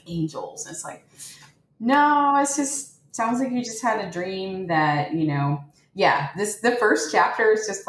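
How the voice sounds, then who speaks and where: Low-voiced woman in an echoey room. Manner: chatty, sarcastic retelling with many fillers. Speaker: podcast co-host. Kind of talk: casual book discussion.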